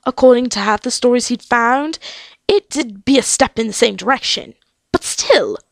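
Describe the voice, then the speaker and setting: A woman reading fiction aloud as an amateur audiobook-style narrator, with wry, dramatic emphasis and drawn-out key words. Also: fairly high pitch, gravelly, no room sound. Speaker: amateur fan fiction reader. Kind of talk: expressive scripted story narration.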